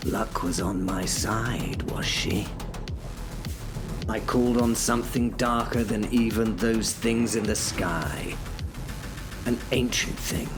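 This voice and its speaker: A man narrating a horror audio drama in the first person, brooding and dramatic. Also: fairly low pitch.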